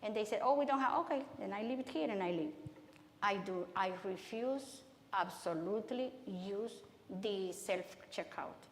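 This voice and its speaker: A woman with a Spanish accent speaks to a church audience in a conversational, halting way and often starts her sentences again. Her voice sits at a middle pitch. The hall around her echoes, and the reverb rings on after each phrase. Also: slight background noise. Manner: conversational, halting, many restarts. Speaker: guest speaker.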